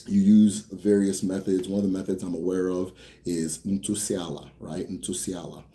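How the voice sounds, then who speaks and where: A young American man hosting a casual Q&A for viewers. He talks bluntly, swears freely, rambles a bit, then slows down to explain. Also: low-pitched, little pitch movement, mellow. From United States. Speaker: host answering viewer questions. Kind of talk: casual livestream Q&A.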